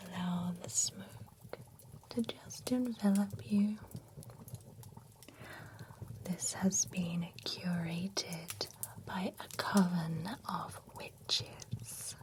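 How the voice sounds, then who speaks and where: A woman whispering as a slow, gentle monster-spa attendant. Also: on the low side, steady tone. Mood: soothing, teasing. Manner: soft unvoiced whisper, teasing. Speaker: ASMR creator. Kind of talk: whispered ASMR roleplay.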